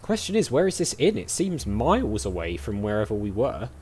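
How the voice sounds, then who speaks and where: A man's voice: lowish voice, huge pitch swings, a little fast, a little mellow, a little hiss or hum. A man doing casual let's play commentary, thinking aloud as he goes. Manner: thinking aloud, reacting to the game. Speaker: gaming streamer.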